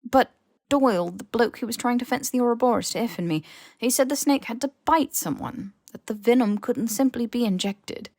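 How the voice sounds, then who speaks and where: A woman's voice: fairly high pitch, a little mellow, no echo, clean studio sound, pitched above the performer's own voice. A woman voicing a weary, exasperated male officer who talks in a casual, blunt, insistent way in an audiobook. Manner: informal, halting, insistent.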